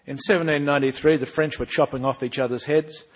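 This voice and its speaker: A man's voice: a little high, fast talker, voice pushed up, natural voice. A man reading as a cheeky bookish boy. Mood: spirited. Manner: animated storytelling with emphatic rises. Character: sharp-tongued boy narrator, bookish and cheeky. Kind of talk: reading aloud from his own book.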